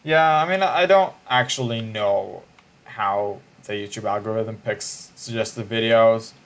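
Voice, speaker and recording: A man's voice: lowish voice, unhurried, fairly bright tone. A man, chatting casually on a livestream in a musing, hesitant way with frequent restarts. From Israel.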